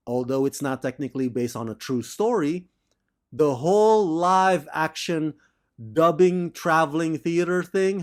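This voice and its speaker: A man delivering a measured, enthusiastic film review monologue, laughing now and then. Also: a little high. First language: not Thai.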